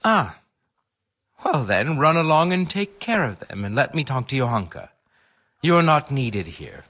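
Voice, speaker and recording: A man's voice: medium pitch, big pitch swings, unhurried, fairly bright tone, exaggerated voice. A man playing a haughty, easily affronted custodian in a video game, with a pompous, flowery and dismissive manner. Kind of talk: video game cutscene acting.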